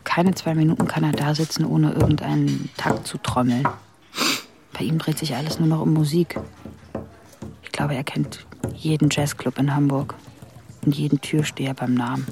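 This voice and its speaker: A woman's voice: low voice, steady tone, normal speed, creaky, long pauses, background noise. A woman playing a young daughter in a German radio play, confiding and intimate in her delivery. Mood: wistful. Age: young adult. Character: Rita, young daughter, wistful narrator of her family.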